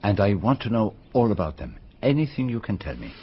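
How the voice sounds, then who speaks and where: An actor playing a courteous, methodical older Belgian detective with a French-speaking Belgian accent, formal and probing in a radio drama. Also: male voice, lowish voice, sing-song, a little fast, husky, exaggerated voice. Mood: grave, methodical. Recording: slightly dull radio recording.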